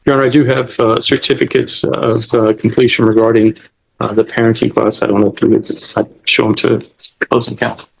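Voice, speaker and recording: Gravelly, raspy male lawyer. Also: mid-range voice, little pitch movement, brisk, rough recording. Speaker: lawyer addressing the judge. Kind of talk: formal courtroom address. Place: courtroom.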